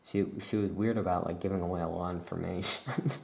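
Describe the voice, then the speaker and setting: A soft-spoken ASMR host playing a witch shopkeeper, casually improvising and thinking aloud in an unhurried, rambling way. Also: sits low, fairly even intonation, creaky, slight background noise.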